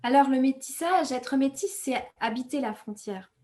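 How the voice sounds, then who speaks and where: A woman from Rwanda, raised speaking Kinyarwanda, giving thoughtful interview answers as an author, measured and pausing often to find her words. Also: high voice, lively intonation, a little mellow. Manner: thoughtful, measured, with frequent hesitations and self-corrections.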